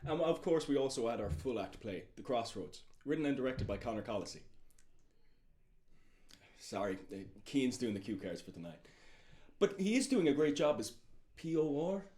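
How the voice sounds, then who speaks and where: A man's voice: mid-range voice, very animated, normal speed, pauses often, dark tone. A man presenting as a co-host from a script in a conversational way. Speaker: showcase co-host.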